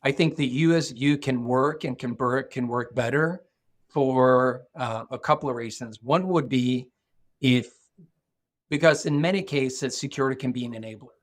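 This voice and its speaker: A middle-aged man from Norway, a guest speaking thoughtfully in a podcast interview, often restarting his sentences. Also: medium pitch. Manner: thoughtful, measured, with frequent restarts. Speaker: guest, security executive.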